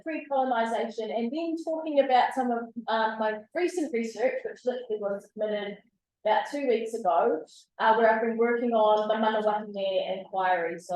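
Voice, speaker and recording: A woman giving an academic guest lecture, measured and explanatory with frequent ums. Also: on the high side, darkish tone. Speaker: guest speaker, researcher. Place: lecture room. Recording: very echoey room, far from the mic.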